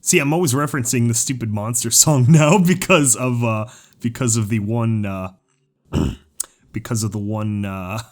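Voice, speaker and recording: A man chatting casually between takes, thinking aloud in a halting way. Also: mid-range voice. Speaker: voice actor recording lines.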